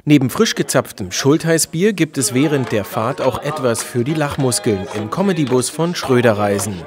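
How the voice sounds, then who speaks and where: A man's voice: mid-range voice, expressive, normal speed, over loud music. A man reading scripted promotional narration for a television report. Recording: voice-over with crowd sounds behind.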